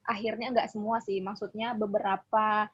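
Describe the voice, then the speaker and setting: A woman with a husky voice, teaching informally as a religious teacher and often checking that she is followed. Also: mid-range voice, flat delivery, normal speed, fairly bright tone. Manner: conversational, often checking for agreement. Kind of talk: informal explanatory online lesson. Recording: dull lo-fi audio.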